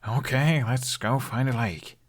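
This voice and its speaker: A man's voice: medium pitch, a little slow, voice pushed up, lightly acted. A man voicing a mild, wry young boy hero in a fantasy audiobook, speaking casually. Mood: resigned.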